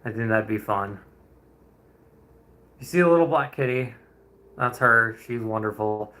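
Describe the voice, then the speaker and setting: Bright-voiced young man, rambling and chatty. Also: mid-range voice, measured pace. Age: 20s. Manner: rambling, chatty, greets viewers, and chuckles often. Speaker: streamer. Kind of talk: casual chat on a painting livestream.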